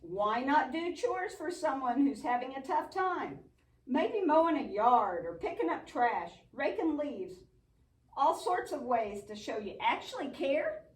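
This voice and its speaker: A woman's voice: high-pitched, very animated, unhurried. A woman playing an earnest, patient but bossy young puppet friend in a church show, explaining and chiding. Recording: echoey room, distant mic.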